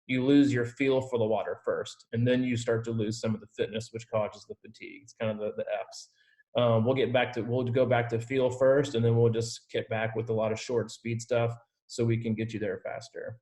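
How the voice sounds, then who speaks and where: A man's voice: medium pitch, little pitch movement, fairly quick, darkish tone. A man working as a triathlon coach, informally and reassuringly answering team questions on a video call.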